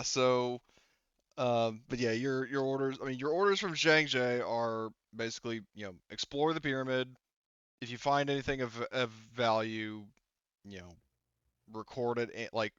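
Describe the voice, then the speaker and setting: A man narrating as game master of a tabletop roleplaying game in an informal, halting, improvised way. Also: mid-range voice, clear, resonant tone, dry recording, lo-fi sound. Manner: halting, informal, frequent "like" and "you know".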